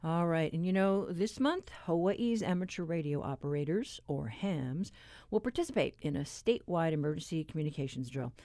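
A woman's voice: sits low, expressive, moderate pace, mellow, no room sound. Woman radio host with dry studio sound. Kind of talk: scripted radio introduction.

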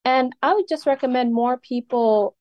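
A teenage girl, a high school student from Ethiopia, answers interview questions in an earnest way, leaning on casual fillers. Her voice sits on the higher side and is recorded dry and close. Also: moderate pace, no room sound, highs cut off. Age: teens. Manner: earnest, frequent fillers like "like" and "you know".

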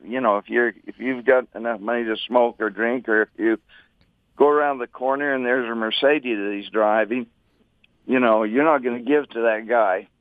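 A man's voice: mid-range voice, fairly even intonation, moderate pace, dry recording. A man calling in to a radio talk show, earnestly making a point with scripture. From Utah. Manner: earnest, preachy, cites scripture. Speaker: radio caller. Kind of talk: phoned-in call to a radio show. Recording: tinny phone line.

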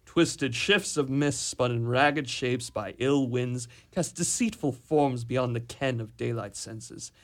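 A man playing a troubled, brooding young prince in an amateur radio drama, speaking in a measured, solemn hush. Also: medium pitch. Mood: dismissive, solemn.

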